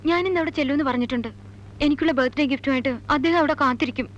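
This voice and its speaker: A woman's voice: high-pitched, racing, highs cut off, close to an everyday voice. A young actress playing a headstrong, girlish teenage daughter in a Malayalam film drama, talking insistently. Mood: defiant.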